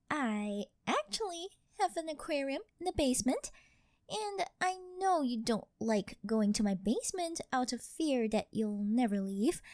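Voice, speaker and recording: Woman acting a high-pitched, coy, doting yandere girlfriend. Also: dry recording, clean studio sound. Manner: coy, cutesy, teasing, sweet-talking. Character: rich, possessive, doting older yandere girlfriend. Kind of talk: ASMR girlfriend roleplay.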